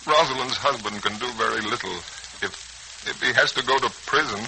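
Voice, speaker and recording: An actor playing a distraught, bitter young husband in a 1940s radio serial, brooding and melodramatic. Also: male voice, medium pitch, a little fast, vocal fry, pushed and bright. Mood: bitter, despairing. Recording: noisy, crackling old 1940s radio recording.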